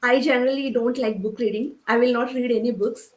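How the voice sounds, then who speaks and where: Lady panel guest, quite high pitched, simple plain talk, roomy echo. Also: fairly even intonation, brisk. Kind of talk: casual chat. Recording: echoey room sound.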